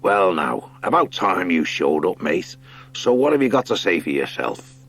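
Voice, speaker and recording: A hearty sea otter skipper from an animal storybook, acted out by a man. His talk is salty and rustic, jolly and good-natured, with a lively rise and fall. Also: low-pitched, normal speed, breathy edge, full character voice. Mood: hearty, scheming. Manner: nautical rustic speech, jolly. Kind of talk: audiobook character acting.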